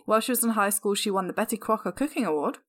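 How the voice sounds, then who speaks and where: A woman in her thirties with a slightly croaky voice, co-hosting a podcast in casual, chatty conversation and laughing often. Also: medium pitch, lively intonation, racing. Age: 30s. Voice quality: croaky, slightly sore throat. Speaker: podcast co-host. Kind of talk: casual chat.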